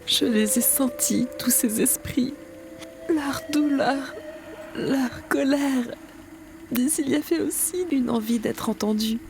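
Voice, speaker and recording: A woman playing a sensitive, haunted female clairvoyant in an audio story, speaking in a hushed, confiding, earnest way. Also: high-pitched, slow, creaky, background noise, exaggerated voice. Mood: pained, moved.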